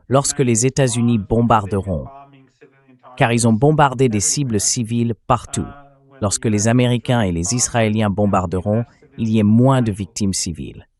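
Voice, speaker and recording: A man's voice: on the low side, normal speed, a little mellow. A man speaking as a professor guest, explaining in a firm, emphatic way. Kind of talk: dubbed interview commentary. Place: in Tehran.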